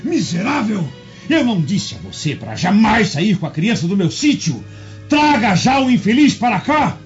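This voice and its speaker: An actor playing an angry, grasping slave owner in a Brazilian Portuguese radio drama, theatrical and menacing. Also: male voice, high-pitched, very animated, moderate pace. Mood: furious. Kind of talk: dubbed radio drama acting. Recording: dull lo-fi audio with music underneath.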